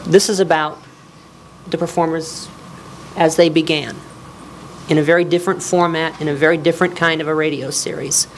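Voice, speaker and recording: A woman's voice: low-pitched, little pitch movement, normal speed, pauses often. A woman speaking as an author, giving a public lecture on history. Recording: live talk with faint noise.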